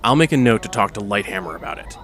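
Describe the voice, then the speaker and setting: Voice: deep voice, expressive, fast, a bit bright, faint music behind. An actor playing a frazzled, businesslike stage director in an audio drama, blunt and exasperated in delivery. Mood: pointed. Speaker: director of a musical in a story. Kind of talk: naturalistic audio drama acting.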